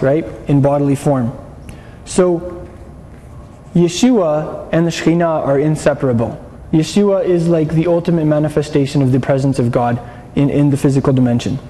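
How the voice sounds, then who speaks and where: Male teacher, mid-high but dark-toned voice, lecturing and quizzing the class, reverberant hall with faint noise. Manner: explanatory, asks the class questions, frequent restarts. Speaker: Bible teacher.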